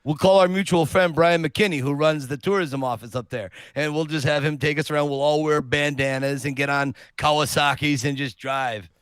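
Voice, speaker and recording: A man's voice: fairly high pitch, steady tone, moderate pace, few pauses, fairly bright tone, clear, resonant tone, no echo. A man in his fifties, a guest on a casual podcast, bantering playfully and joking around. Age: 50s. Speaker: guest co-host.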